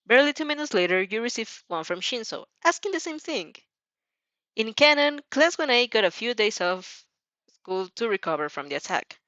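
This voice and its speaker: A woman's voice: a little high, moderate pace, no echo, muffled recording. Giggly woman reading fan fiction. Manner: giggly, expressive, breaks off to comment. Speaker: fan fiction narrator and reactor. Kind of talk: dramatic reading with casual reactions.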